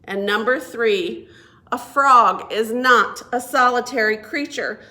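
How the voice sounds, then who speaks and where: A woman reading a picture book aloud to young children as a children's librarian, expressive and deliberate. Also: a little high. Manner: expressive, deliberate, asks listeners questions. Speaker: children's librarian and storytime reader.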